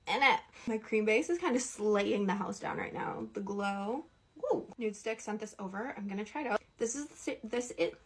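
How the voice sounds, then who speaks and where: A woman's voice: medium pitch, moderate pace, breathy edge. A Canadian woman chatting casually through a makeup tutorial, playful and slangy, with statements that rise like questions. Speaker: beauty content creator.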